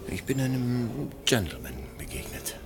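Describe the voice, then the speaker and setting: A man's voice: medium pitch, very animated, moderate pace. An actor in a German radio play voicing a wary, dryly ironic man who talks in clipped, polite phrases. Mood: wary, incredulous. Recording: studio radio play with prominent music. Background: music.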